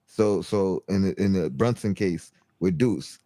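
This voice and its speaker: A man with a low, mellow voice talking basketball in a loose, halting way, full of restarts and fillers. The recording is dry and close. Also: no echo. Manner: halting, many restarts and fillers, repeats "you know what I mean". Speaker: co-host, sports analyst. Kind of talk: casual sports talk.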